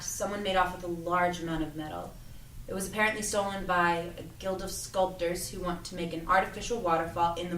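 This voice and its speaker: A woman's voice: medium pitch, pushed and bright, lightly acted. Woman playing earnest young student, roomy and distant. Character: earnest, anxious young female student, painter's apprentice. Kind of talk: audio drama table read. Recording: roomy, off-mic, music underneath.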